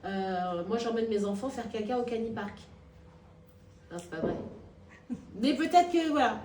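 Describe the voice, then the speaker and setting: A woman being interviewed, with frequent restarts and hesitations that give way to emphatic, lively stretches. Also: a little high, a little slow, slight background noise. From Nigeria and Madagascar. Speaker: actress and workshop founder, interviewee. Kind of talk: spontaneous interview talk. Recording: echoey room, heard from a distance.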